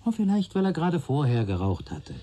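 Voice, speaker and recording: An actor playing a patient senior police detective in a German radio play, speaking in a dry, probing manner. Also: male voice, a little high, very animated, a little fast, mellow.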